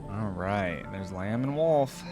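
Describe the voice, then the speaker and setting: A man's voice: on the low side, animated, slow pace. A man hosting a reaction video, commenting casually and reading story passages aloud. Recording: roomy echo, faint music behind.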